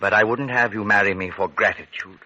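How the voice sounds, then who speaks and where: An actor playing a devoted, anxious gentleman in love, hesitant and earnest, in a 1940s radio drama. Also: fairly low pitch, brisk, muffled recording. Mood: anxious, lovelorn. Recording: vintage 1940s radio recording.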